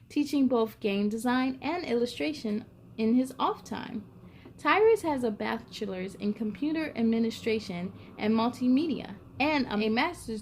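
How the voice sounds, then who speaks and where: High-pitched woman reading stories to children. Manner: expressive, addresses young listeners directly. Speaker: children's read-aloud host. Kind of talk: animated picture-book storytelling for young children.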